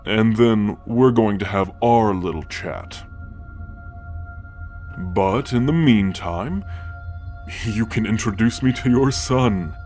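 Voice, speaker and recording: Male actor as ancient divine patriarch: unhurried, low, fond yet commanding, for audio roleplay over music. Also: animated. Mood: gentle, fond. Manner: slow, deliberate, affectionate, with sighs and soft chuckles. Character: ancient godlike father and great-grandfather, gentle, wise, quietly commanding. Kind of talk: scripted ASMR audio roleplay. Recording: music under the voice. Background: background music.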